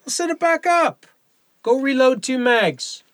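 An actor plays a male firearms range instructor with a bright, forceful voice in a very dry recording. He is brisk and commanding but encouraging, in an audio drama style. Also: very high voice, expressive, no room sound, heavily acted. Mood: coaxing, supportive. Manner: commanding, clipped instruction with coaxing pep talk.

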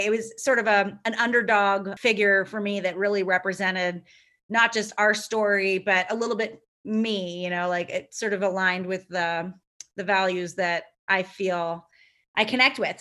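A woman's voice: mid-range voice, fairly even intonation, normal speed, sharp tone. A woman founder, whose first language is Lithuanian, answering interview questions in a thoughtful, rambling way with many fillers. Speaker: company founder and CEO.

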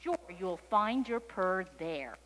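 A woman voicing a wise, gently scolding storybook owl that hoots and gives unhurried advice. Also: mid-range voice, very animated, long reverb tail, a little hiss or hum, poor audio. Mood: knowing.

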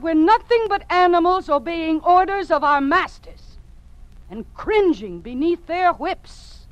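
A woman's voice: sits high, dry recording, rough recording. An actress playing a defiant woman schoolteacher in an old American radio drama, speaking with earnest, emphatic oratory. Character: impassioned, defiant woman schoolteacher under communist regime.

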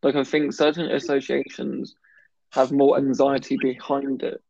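A man's voice: mid-range voice, little pitch movement, normal speed, vocal fry, darkish tone, no room sound, highs cut off. A man in casual conversation who stammers, blocking on some words and often restarting. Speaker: guest talking about his stammer. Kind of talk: casual chat.

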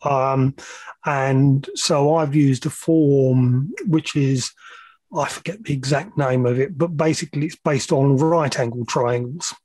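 A man's voice: medium pitch, measured pace, a little mellow, slightly rough, dry recording. A middle-aged man explaining technical matters as an interview guest, hesitant at times, with plain-spoken asides. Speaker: podcast guest, loudspeaker measurement engineer.

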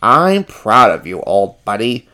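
Storybook casting: kindly male puppet pal, encouraging, plain-spoken, unhurried played by an adult reader. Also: mid-range voice, very animated, pushed and bright, full character voice. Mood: comforting. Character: Bert, supportive, kindly male puppet buddy.

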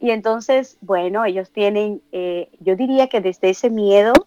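A Dominican-accented woman co-hosting a radio show, talking in an earnest, reflective way with frequent hesitant fillers. She sits at a mid pitch, close to the mic in a dry room. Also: warm tone, no echo, lo-fi sound. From Dominican Republic. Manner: earnest, halting, many "eh" fillers. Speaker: radio co-host.

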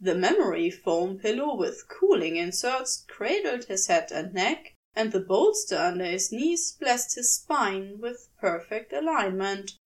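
A woman reading fiction aloud as an audiobook narrator, in an expressive scripted storytelling style. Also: mid-range voice, a little slow, hardly any pauses, recorded from a distance.

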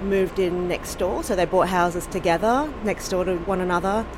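An Australian woman from Sydney, speaking in a casual, thoughtful interview and pausing often with ums and restarts. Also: lowish voice, steady tone, noisy background. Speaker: podcast host being interviewed.

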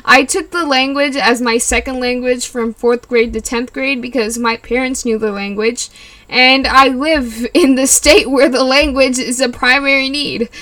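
Young woman from california rambling on her podcast, bright voice, lots of likes and little laughs. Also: high-pitched, fairly even intonation, a little slow. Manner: rambling, giggly, lots of likes and you knows. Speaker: podcast host. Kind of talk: casual solo podcast chat.

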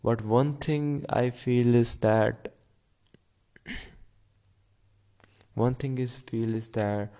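A nineteen-year-old man, rambling casually at home in a halting way, full of fillers and restarts. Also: mid-range voice, steady tone, warm tone, clean tone. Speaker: vlogger.

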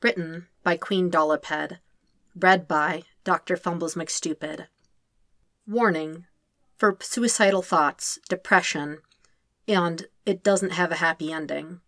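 Female narrator, low register, subdued and measured delivery, dry booth-like sound. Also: fairly bright tone, no room sound. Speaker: fanfiction reader. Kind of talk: scripted narration of a podfic.

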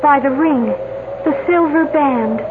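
A woman's voice: high-pitched, slow pace, phone-quality sound. A woman playing an earnest young archaeology student in a 1970s radio drama. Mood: grave. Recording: thin band-limited old radio, noisy.

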